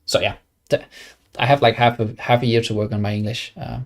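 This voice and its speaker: Young Danish-accented streamer, gravelly voice, loose rambling chat with lots of restarts. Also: male voice, mid-range voice, steady tone, fairly quick. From Denmark. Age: young adult. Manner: rambling, many fillers and restarts. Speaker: game streamer. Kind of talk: casual live stream chat.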